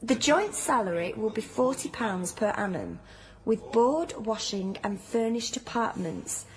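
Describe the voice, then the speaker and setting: An actress playing a down-to-earth hospital nurse in a radio drama, with a Northern English accent and a blunt, teasing, colloquial way of talking. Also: female voice, a little high, very animated, measured pace. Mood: storytelling. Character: down-to-earth hospital nurse, teasing, plain-spoken old friend. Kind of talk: naturalistic radio drama dialogue.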